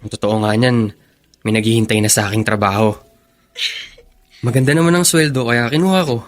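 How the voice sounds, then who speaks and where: A man's voice: mid-range voice, steady tone, a little fast, lightly acted. A Filipino man playing a devoted, upbeat young elder brother in a sentimental radio drama, earnest and encouraging. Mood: reassuring. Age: young adult.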